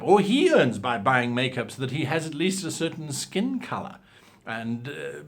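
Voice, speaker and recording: A man hosting an advice show, explaining things to viewers in an animated, theatrical and emphatic way. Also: fairly high pitch. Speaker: host of a role-playing advice show. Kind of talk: instructional talk to viewers with acted example lines.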